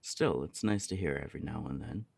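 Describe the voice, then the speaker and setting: A man's voice: extremely low pitch, fairly quick, creaky, breathy edge, no room sound, full character voice. A young man playing an easygoing, affectionate punk roommate in an intimate ASMR roleplay, chatting casually and teasingly. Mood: fond. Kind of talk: intimate ASMR roleplay, one side of a conversation.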